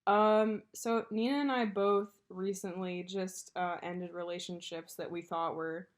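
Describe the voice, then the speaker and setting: A woman's voice: on the low side, steady tone, unhurried. Stuffy-nosed young woman co-hosting a chat, blunt, swears a lot, teases her friend. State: congested. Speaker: podcast co-host. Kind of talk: casual chat.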